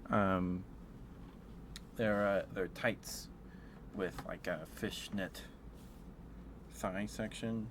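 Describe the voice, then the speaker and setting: A man chatting in a rambling, affectionate ASMR style to live viewers, speaking softly into a close microphone. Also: low voice, fairly even intonation, extremely slow, pauses often. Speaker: ASMR livestreamer. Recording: clear background noise.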